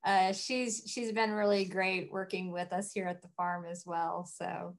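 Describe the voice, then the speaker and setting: A middle-aged woman farmer giving casual, halting interview answers over a video call from a room at home. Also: lowish voice, little pitch movement. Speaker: farmer, guest. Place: small wood-panelled room at home. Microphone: computer mic on a video call.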